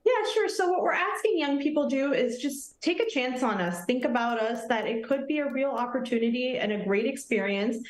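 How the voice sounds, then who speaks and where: Earnest friendly woman guest on morning tv, kinda high voice, roomy echo. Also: lively intonation, normal speed, few pauses, slight background noise. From Nepal. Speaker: Army officer guest. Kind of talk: morning TV interview.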